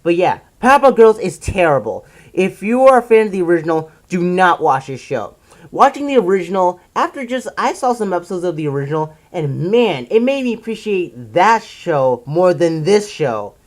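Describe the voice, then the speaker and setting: A person giving casual, opinionated commentary as a video reviewer, in an exasperated, emphatic ranting manner with drawn-out stressed words. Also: medium pitch, sing-song. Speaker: video reviewer presenting a countdown list.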